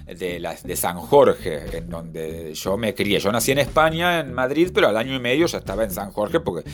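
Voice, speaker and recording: A man's voice: on the low side, expressive, normal speed, slight background noise. A man, reflecting on his reading and libraries with many restarts. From Argentina. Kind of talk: personal interview testimony.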